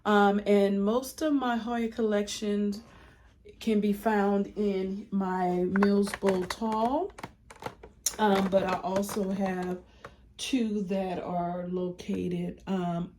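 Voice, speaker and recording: A woman's voice: medium pitch, darkish tone. A woman giving a casual plant collection tour, congested from a cold, chatty with frequent ums and restarts. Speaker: plant vlogger.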